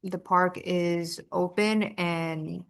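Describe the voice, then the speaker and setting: A woman's voice: fairly low pitch, very slow, creaky. Woman with a Spanish accent giving updates. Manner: relaxed, lightly joking. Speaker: council member giving updates. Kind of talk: informal meeting report.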